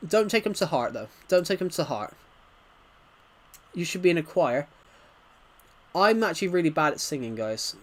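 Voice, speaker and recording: Higher-pitched man chatting on a gaming stream. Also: very animated, normal speed, pauses often. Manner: rambling, stuttering, reads out viewers' comments. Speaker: livestream host. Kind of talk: casual gaming livestream chat.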